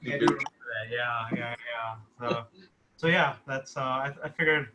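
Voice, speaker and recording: A man's voice: mid-range voice, normal speed, noisy background. A man talking casually and explaining things as a writer and producer on a video call. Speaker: writer and producer of a short film.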